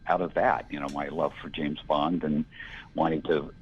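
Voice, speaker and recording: A man in his sixties with a gravelly, gruff voice, giving a rambling, reflective interview answer with lots of fillers. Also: very deep voice, flat delivery, moderate pace. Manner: rambling, reflective, many "you know" fillers. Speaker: interview guest, filmmaker. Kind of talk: casual conversational interview. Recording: dull lo-fi audio.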